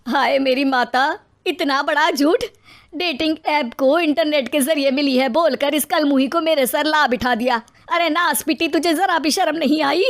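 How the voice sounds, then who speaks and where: A woman playing a domineering, sharp-tongued middle-aged mother-in-law in an acted moral story, scolding and taunting theatrically. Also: high-pitched, normal speed. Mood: outraged.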